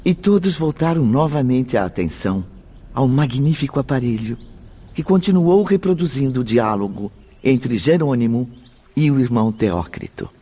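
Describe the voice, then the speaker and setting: A man's voice: medium pitch, lively intonation, moderate pace, mellow, husky. A man with a Brazilian accent narrating a radio drama from a script in a formal, literary style. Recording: music behind the voice.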